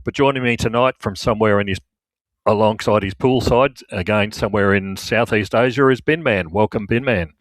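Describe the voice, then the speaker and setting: A man's voice: lowish voice, moderate pace, no room sound. A man with an Australian accent hosting a casual sports podcast. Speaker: podcast host.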